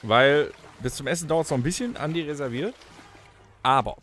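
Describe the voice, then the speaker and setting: Bright, forceful man bantering over background noise. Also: on the high side, normal speed. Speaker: podcast host. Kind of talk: casual banter in a podcast radio-play special.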